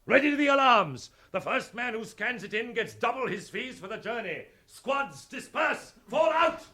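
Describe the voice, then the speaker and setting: An actor playing a blinded, obsessed old starship captain, declaiming grandly and poetically in a 1960s British radio drama. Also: male voice, very high-pitched, big pitch swings, normal speed, sharp tone, slight background noise, exaggerated voice. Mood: fervent, commanding.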